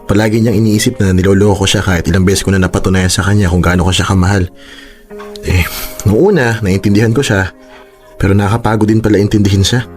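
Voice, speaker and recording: Actor as low-voiced, hesitant Filipino employee. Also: male voice, darkish tone, natural voice. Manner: deferential, hesitant, pleading. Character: polite, hesitant young male employee, weary in love. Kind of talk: Filipino radio drama acting. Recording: music under the voice. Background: background music.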